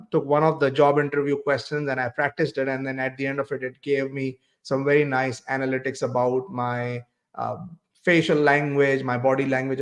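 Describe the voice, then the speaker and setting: A man hosting a podcast as career coach, greeting viewers and asking his guest questions with small fillers. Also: mid-range voice. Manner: friendly, asks questions with fillers. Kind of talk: podcast interview.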